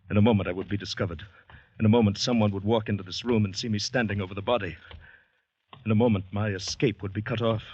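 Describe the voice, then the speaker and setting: A man playing haunted terrified fugitive, low voice, intense first-person radio narration, muffled 1940s recording. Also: a little hiss or hum, lightly acted. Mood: tense fear. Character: terrified shell-shocked man, fugitive from a murder scene.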